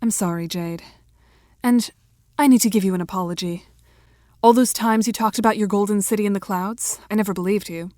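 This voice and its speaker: A grim and wordy girl, acted by a woman, speaking at a medium pitch in a closely dry recording. Her delivery is measured, formal and gloomy, suited to doom-laden speeches in an audio drama. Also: mellow, no echo, close to an everyday voice. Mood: apologetic, gloomy. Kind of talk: audio drama character acting.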